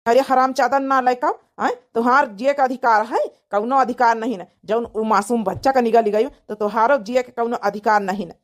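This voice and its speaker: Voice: mid-range voice, fairly even intonation, moderate pace, hardly any pauses, close to an everyday voice. A performer voicing a furious, accusing village man in an Awadhi cartoon comedy, scolding in a melodramatic way. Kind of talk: dramatic comedy cartoon dubbing.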